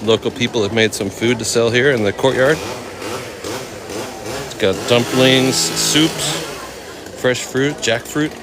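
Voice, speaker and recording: A man from Oakland in his thirties, casually chatting with viewers while walking through a temple courtyard outdoors, easygoing and quick to laugh. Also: mid-range voice, fairly even intonation, noisy background. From Oakland, California. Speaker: vlogger answering live chat. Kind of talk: casual live-stream walk and chat.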